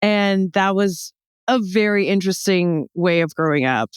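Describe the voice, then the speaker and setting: Joking young American woman, smooth dry sound. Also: medium pitch, measured pace, no echo. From Texas, United States. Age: young adult. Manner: animated, joking, quotes others in dialogue. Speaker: podcast guest, comedy show founder. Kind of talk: casual chat, humorous anecdotes.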